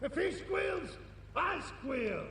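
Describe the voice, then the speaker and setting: Crooked game cop: high, swooping gravelly voice, agitated and conspiratorial, streetwise, scheming. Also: male voice, very animated, very slow, a bit bright, full character voice. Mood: furious, panicked. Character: jittery corrupt middle-aged cop, scheming and foul-tempered. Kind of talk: video game cutscene acting. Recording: roomy, distant, faint noise.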